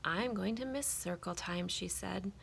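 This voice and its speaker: A woman reading a children's picture book aloud in an expressive, emphatic storytelling style. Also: fairly low pitch, measured pace, creaky, slightly rough.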